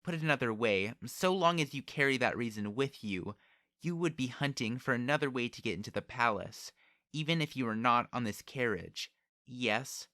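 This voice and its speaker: A man's voice: mid-range voice, lively intonation, normal speed, sharp tone, no echo, studio quality. A man voicing a proud, cold young noblewoman who speaks formally and with condescension in an audiobook. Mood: imperious, probing.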